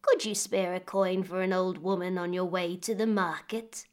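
A woman playing a quiet knowing old beggar woman. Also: lowish voice, steady tone, normal speed, close to an everyday voice.

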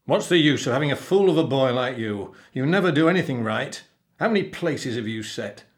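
A man's voice: medium pitch, animated, moderate pace, a bit bright, natural voice. A man voicing a bullying, short-tempered pirate captain in a storybook tale, with a barking, growling manner. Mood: scornful.

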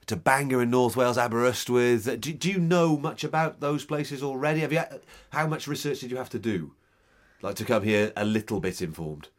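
A man's voice: mid-range voice, a little fast. A man hosting a radio interview in a studio, chatty and self-deprecating, often repeating words as he goes. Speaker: radio host. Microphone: studio microphone.

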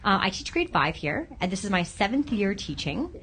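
A woman in her thirties speaks as a schoolteacher being interviewed. Her voice sits at an average pitch for a woman. She gives explanations in plain, simple language that young listeners can follow. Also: sing-song, moderate pace, a bit bright, slightly rough. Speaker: grade five teacher, podcast guest.

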